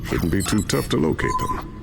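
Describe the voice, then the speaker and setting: A man's voice: medium pitch, huge pitch swings, normal speed, creaky, heavily acted. An actor playing a capable, loyal male inventor in a video game, with a matter-of-fact, reassuring manner. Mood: helpful. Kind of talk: dramatic video game acting. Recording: music behind the voice.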